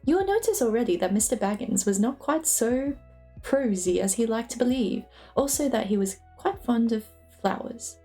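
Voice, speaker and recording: A woman's voice: on the high side, expressive, moderate pace, warm tone. A woman streamer reading a storybook aloud as a dramatic narrator. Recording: music playing behind the voice.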